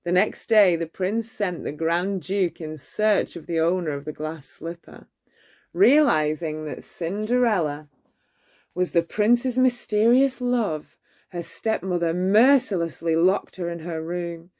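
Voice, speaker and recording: A woman narrating a children's bedtime story in a measured, expressive storytelling manner. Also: lowish voice. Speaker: storybook reader.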